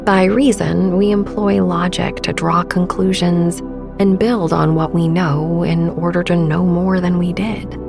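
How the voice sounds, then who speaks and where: A woman in her thirties gently narrating a sleep meditation as podcast host, with a soft, breathy, soothing and unhurried delivery. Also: lowish voice, little pitch movement, music in the background.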